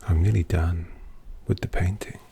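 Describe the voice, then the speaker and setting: A man voicing a languid, possessive male vampire artist in an ASMR roleplay, talking softly and teasingly to the listener. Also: deep voice, steady tone, slight background noise. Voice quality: soft whispery, gravelly. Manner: slow, teasing, intimate address to listener.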